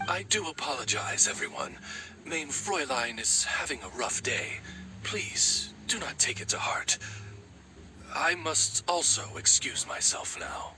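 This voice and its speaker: A man's voice: a little high, big pitch swings, measured pace, background noise. A man playing a courtly raven familiar in a video game, a devoted, anxious attendant who speaks formally and deferentially. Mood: pleading, apologetic. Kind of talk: video game character acting. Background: prominent game music.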